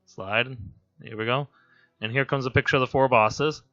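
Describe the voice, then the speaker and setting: Hoarse male game commentator, casual and amused, bright-edged voice, muffled lo-fi recording. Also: mid-range voice, steady tone, moderate pace. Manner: rambling, amused and self-deprecating. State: hoarse from laryngitis. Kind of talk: casual gameplay commentary voice-over.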